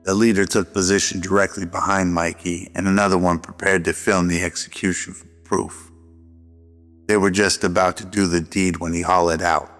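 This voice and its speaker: A man's voice: sits low, flat delivery, moderate pace, fairly bright tone. A man reading scripted narration for a radio drama. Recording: long reverb over faint music.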